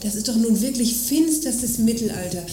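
A woman's voice: a little high, animated, normal speed, dark tone, soft background music, close to an everyday voice. A woman acting an aggrieved, self-pitying wife of an arms dealer in a satirical radio play, polite but complaining. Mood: indignant. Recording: roomy sound with faint noise.

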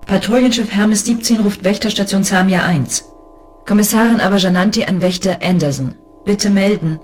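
A woman playing a bitter, lonely space police inspector in a science fiction audio drama, with a crisp, sardonic and reproachful manner. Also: mid-range voice, normal speed, a little mellow, faint music behind, natural voice. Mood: official.

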